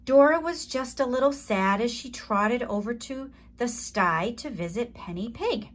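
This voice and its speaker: A woman storyteller reading a children's story aloud in an expressive, deliberate manner. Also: a little high.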